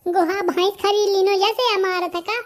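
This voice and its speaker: A man's voice: extremely high pitch, little pitch movement, normal speed. A man voicing a male villager with a Bundelkhandi accent in a comic cartoon, protesting and pleading as one accused of wrongdoing.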